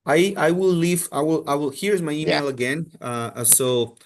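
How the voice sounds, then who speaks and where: Halting man on a video call. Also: a little high. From Colombia. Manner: halting, many restarts and fillers. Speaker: university admissions representative. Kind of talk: casual answers in a virtual info session.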